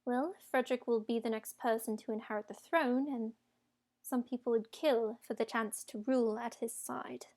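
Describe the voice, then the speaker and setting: A woman's voice: fairly high pitch, steady tone, moderate pace, a little mellow, close to an everyday voice. A woman acts out a middle-aged villain queen in a fairytale audio drama. She is formal, coldly imperious and full of menace, a schemer used to being obeyed. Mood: veiled warning.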